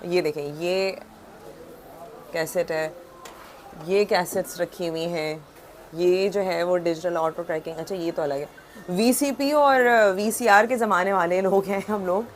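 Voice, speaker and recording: A woman's voice: on the low side, measured pace. A woman with a Karachi Pakistani accent hosts a casual on-location vlog, explaining things conversationally as she shows the place around and asks questions. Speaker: host and reporter. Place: on location outside and inside a shopping centre. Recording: noisy location with traffic ambience.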